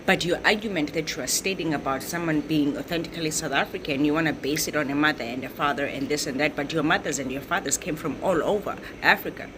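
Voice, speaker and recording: A woman's voice: deep voice, fast, few pauses, noisy background. A woman giving commentary in a lecturing way, repeating her points and checking in with "right?". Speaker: social commentator. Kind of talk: explanatory commentary.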